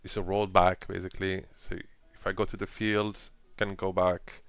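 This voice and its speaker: A man presenting a casual software tutorial with phrasing and frequent fillers, explaining each step while clicking through an editor. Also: sits low, level tone, normal speed, dry recording, faint music behind. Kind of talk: screen-recorded software walkthrough.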